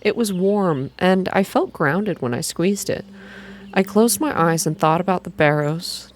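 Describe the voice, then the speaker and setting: Low-pitched woman reading in ASMR style. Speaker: narrator reading a visual novel aloud. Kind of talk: soft ASMR reading of first-person fiction.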